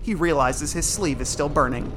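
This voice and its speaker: A man's voice: on the high side, normal speed, rough recording. A man narrating an audio drama from a script in a dramatic, descriptive manner. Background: loud music.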